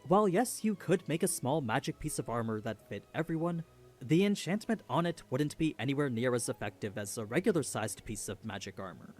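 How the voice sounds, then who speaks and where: A man's voice: mid-range voice, a little mellow. A man reading scripted narration for an explainer video.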